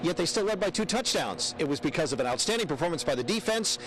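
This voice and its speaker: A man's voice: on the high side, expressive, fast talker, fairly bright tone, a little hiss or hum, rough recording. A man delivering a scripted sports report as a television reporter.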